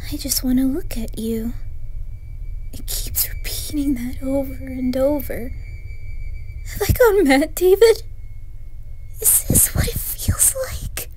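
A woman playing a terrified young woman trapped at home, intense, pleading and often hushed, in a horror story. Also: high-pitched, slow pace, long pauses, husky, in a whisper, heavily acted, poor audio. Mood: desperate pleading. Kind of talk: dramatised horror story reading.

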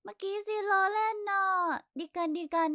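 A woman voicing a playful, teasing, friendly schoolgirl in an expressive anime style. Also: very high voice, brisk, no room sound, clean studio sound. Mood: coaxing, playful. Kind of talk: anime-style voice pack acting.

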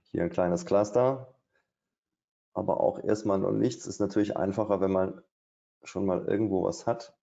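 A man's voice: sits low, fairly even intonation, normal speed, vocal fry, mellow. A middle-aged man doing live trading commentary at his desk, thinking aloud with hesitations and fillers. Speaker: day trader. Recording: dull, lo-fi stream audio.

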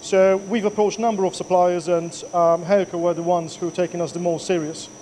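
A man's voice: high voice, flat delivery, fairly quick, dark tone, a little hiss or hum. A man in his thirties, a workshop owner, answering interview questions plainly with many fillers. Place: machine workshop indoors. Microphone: handheld mic.

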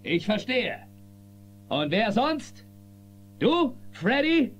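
A man's voice: extremely high pitch, very animated, drawn-out words, heavily acted. A man playing a resolute, earnest space-western marshal with a commanding manner in a children's radio play. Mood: rallying. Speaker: actor in audio drama. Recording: dull lo-fi cassette, music behind.

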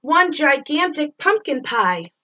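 A middle-aged woman doing an expressive read-aloud of a rhyming children's story, drawing out words and sound effects like a teacher reading to pupils. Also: high voice, slow, highs cut off.

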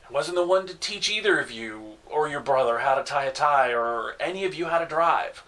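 A man's voice: high-pitched, fairly bright tone. A man, an actor playing a middle-aged grieving, defensive father in an indignant, emphatic dramatic monologue. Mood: bitter, wounded. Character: grieving, defensive father and provider, bitterly self-blaming.

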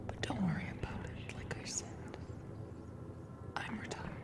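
A woman's voice: normal speed, lightly acted. A woman playing a teasing, playfully menacing sleep paralysis demon, a retired succubus, in an ASMR roleplay addressed to the listener. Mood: sly, chatty.

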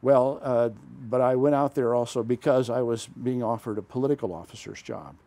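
An older man, a retired diplomat, recalling his career in a relaxed studio interview, halting often with uh and small self-corrections. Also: medium pitch, no echo. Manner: rambling, halting, frequent uh and self-corrections, chuckles. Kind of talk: conversational storytelling interview. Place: small dark studio set, indoors. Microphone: lapel mic.

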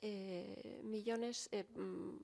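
A woman's voice: medium pitch, steady tone, drawn-out words, vocal fry, darkish tone. A woman working as a simultaneous interpreter at a conference, relaying a speaker's words steadily and fluently with small fillers.

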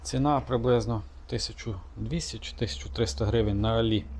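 A man giving a relaxed, casual product demonstration, thinking aloud with small hesitations. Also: mid-range voice, fairly quick, a little hiss or hum. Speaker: motorcycle vlogger.

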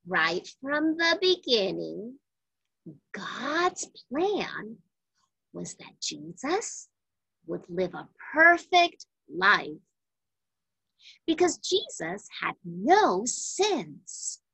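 A woman reading a children's Bible story aloud, with deliberate, emphatic phrasing for young listeners. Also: on the high side, very animated, vocal fry, bright, forceful tone, slightly rough, no room sound, low-quality recording.

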